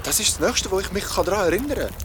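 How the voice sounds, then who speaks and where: A man's voice: high voice, lively intonation, fast talker, noisy background. A young man playing a bewildered, shaken young man in a horror audio drama, hesitant and uneasy as he speaks. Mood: uneasy recounting. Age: young adult. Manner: hesitant, stammering when confused. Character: bewildered, hurting young man recounting a nightmare job.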